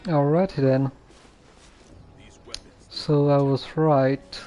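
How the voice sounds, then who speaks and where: Very slow-talking male game streamer. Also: a little high, fairly even intonation, mellow, faint music behind. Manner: hesitant, thinking aloud. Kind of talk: casual, musing game stream commentary.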